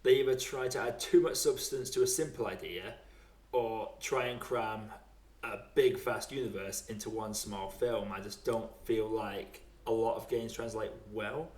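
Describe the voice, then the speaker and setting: A man's voice: on the low side, a little slow, a little mellow, distant mic. A man hosting a podcast in casual chat, talking in a wry, rambling, conversational way. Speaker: podcast host. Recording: roomy, echoey home recording.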